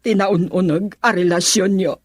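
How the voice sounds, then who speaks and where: A woman's voice: lowish voice, fairly even intonation, moderate pace, dry recording, clean studio sound, natural voice. A woman playing a stern, disapproving mother in an Ilocano radio drama, with an Ilocano accent and emphatic, scolding delivery.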